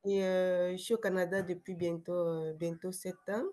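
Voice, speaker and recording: A woman's voice: fairly low pitch, flat delivery, normal speed, dark tone. A young adult woman with a cold, speaking hesitantly as a guest on a video call webinar, with many fillers and restarts. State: sick with a cold, voice affected. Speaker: webinar guest, former client. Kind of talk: casual testimonial on a video call.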